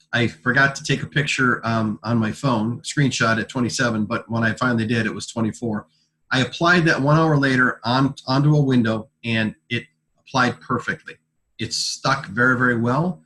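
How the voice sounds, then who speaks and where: Man giving a halting product pitch. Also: bright, forceful tone. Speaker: product sales presenter. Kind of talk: informal product presentation. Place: conference call.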